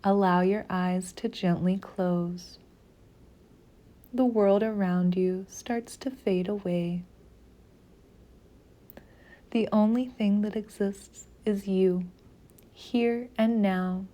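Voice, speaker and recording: A woman guiding a meditation in a soothing, measured narration with long pauses between phrases. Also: mid-range voice, fairly even intonation, warm tone. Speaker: meditation guide. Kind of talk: slow guided meditation narration.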